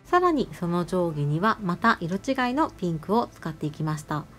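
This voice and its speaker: Woman, tutorial voice-over, polite explanatory delivery, animated pitch, medium register, background music. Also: moderate pace. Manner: polite, explanatory, with gentle advice to viewers. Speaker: nail artist narrating her tutorial. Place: voice-over over close-up salon footage.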